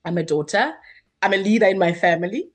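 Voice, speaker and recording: A woman's voice: medium pitch, lively intonation, quick pace. A woman, answering interview questions earnestly at length with many fillers and restarts. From South Africa. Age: 30s. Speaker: guest, activist.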